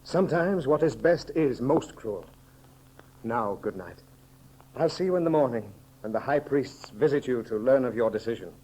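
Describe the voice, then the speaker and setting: An actor playing a grave, loyal counselor with solemn, formal, declamatory delivery in a 1930s radio serial. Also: male voice, mid-range voice, expressive, normal speed, warm tone, breathy edge. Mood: grave, insistent. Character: grave loyal counselor, stern yet devoted male friend. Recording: muffled old 1930s radio recording.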